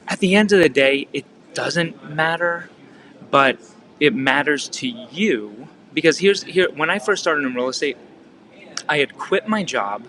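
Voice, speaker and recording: A man's voice: on the high side, lively intonation, takes it slowly, pushed and bright, slight background noise, low-quality recording. A man from Massachusetts with a Massachusetts accent, coaching real estate agents in a casual teaching talk, full of rhetorical questions, fillers and restarts. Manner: conversational, asks the listener frequent rhetorical questions.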